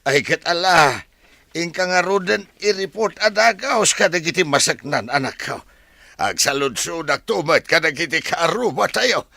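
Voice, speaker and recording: A man acting a weary, fretful middle-aged father in an audio drama, expressive, with a Filipino Ilocano accent. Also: on the high side, moderate pace, gravelly, no room sound, studio quality, heavily acted. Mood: alarmed.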